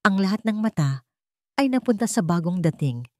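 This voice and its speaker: A woman's voice: mid-range voice, big pitch swings, moderate pace, no echo, clean studio sound. A woman reading a scripted, dramatic story as narrator.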